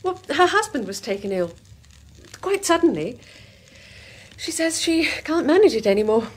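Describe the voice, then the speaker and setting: An actress with a British accent playing a brittle, anxious young wife in a radio horror drama, intense and changeable. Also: female voice, high-pitched, sing-song, normal speed. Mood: evasive.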